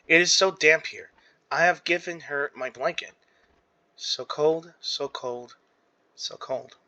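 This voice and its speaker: A man, a gaming streamer, reading game text aloud dramatically and haltingly, stumbling and correcting himself. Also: a little high, bright, forceful tone.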